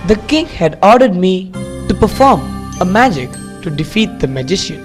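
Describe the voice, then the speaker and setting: A woman voicing a clever male courtier who speaks calmly and politely. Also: fairly low pitch, normal speed. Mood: worried. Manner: calm, polite, plainly spoken. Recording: music under the voice.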